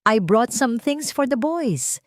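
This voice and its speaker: A woman's voice: fairly high pitch, animated, slow, no room sound, clean studio sound, pitched above the performer's own voice. A woman with a Filipino accent voicing an elegant, scheming rich woman with condescending false concern in a narrated story. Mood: sweet greeting. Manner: code-switching, condescending.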